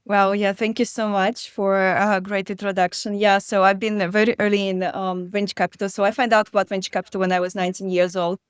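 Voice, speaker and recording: Woman, thirties, smooth clear voice, dry close sound, halting with fillers. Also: medium pitch, level tone, no room sound. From Belarus. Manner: hesitant, many fillers and restarts. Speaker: guest investor. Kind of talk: conversational interview.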